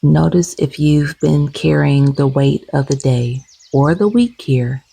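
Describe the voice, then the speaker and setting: A woman leading a guided meditation gently and soothingly, with soft, breathy, husky tones and long unhurried pauses. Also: sits low, dry recording, low-quality recording.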